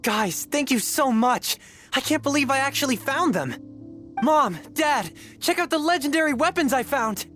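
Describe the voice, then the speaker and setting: A woman voicing an eager, grateful child who gushes excitedly in a video game. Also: high-pitched, normal speed, faint music behind. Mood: overjoyed. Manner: excited, gushing. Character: overjoyed kid, eager and grateful.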